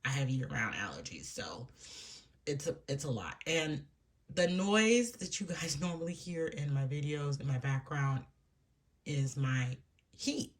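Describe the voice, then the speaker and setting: A woman's voice: a bass voice, a little slow. A woman with a stuffy, froggy voice from allergies, chatting casually and rambling a bit as she walks through her budget for viewers at home. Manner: rambling and chatty, with soft laughs and hushed asides. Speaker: budgeting vlogger. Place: room at home.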